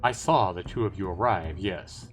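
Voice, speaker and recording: Man voicing a low, reserved elf scout. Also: moderate pace, natural voice. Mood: modest, polite. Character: reserved, courteous male elf military scout. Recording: music behind the voice.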